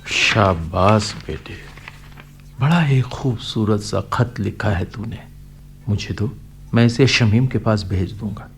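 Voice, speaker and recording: An actor playing a kindly, earnest older man in a radio play, gentle and fatherly in manner. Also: lowish voice, slow pace, faint music behind. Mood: affectionate. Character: kindly, earnest elderly postman uncle. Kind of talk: Hindi radio play acting.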